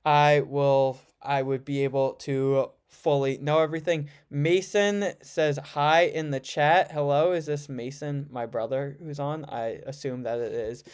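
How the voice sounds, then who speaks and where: A man's voice: on the high side, fairly even intonation, unhurried, few pauses, clean tone. A man of about twenty with an American accent, chatting informally on a livestream and often correcting himself as he rambles. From United States. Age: around 20. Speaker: livestream host, student. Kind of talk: casual livestream chat answering viewer questions.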